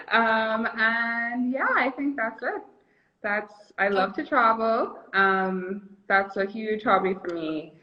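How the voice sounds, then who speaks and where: A woman's voice: on the high side, slow. A woman of twenty-five from the Bahamas, a guest in a casual chat, friendly and chatty with lots of ums, heard over a phone. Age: 20s. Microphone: phone, joining a live stream remotely. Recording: muffled lo-fi phone audio.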